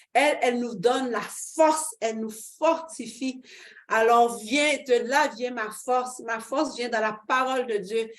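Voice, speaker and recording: A middle-aged woman, giving a fervent personal faith testimony as an interview guest, with many fillers. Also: a little high. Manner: fervent, expressive, with frequent fillers and laughs.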